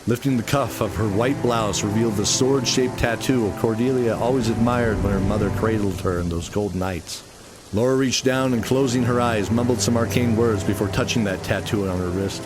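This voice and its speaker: A man's voice: on the low side, normal speed, over music. A man narrating a scripted fantasy radio play in an earnest, dramatic storytelling style.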